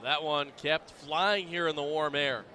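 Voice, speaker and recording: An American man giving measured, explanatory color analysis during a live baseball broadcast. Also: fairly high pitch, fairly even intonation. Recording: busy ballpark crowd behind the voice. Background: clear crowd noise.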